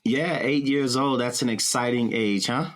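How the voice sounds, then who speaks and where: A man's voice: medium pitch, steady tone, normal speed. A man hosting a podcast, interviewing a guest in an easygoing, encouraging way. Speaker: podcast host. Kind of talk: conversational interview.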